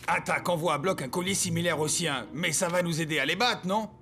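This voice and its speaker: A man playing an excitable, bumbling young cartoon footballer with a hesitant delivery in a French dub. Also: on the high side, quick pace, soft background music. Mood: mocking, flustered. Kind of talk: animated series French dub acting.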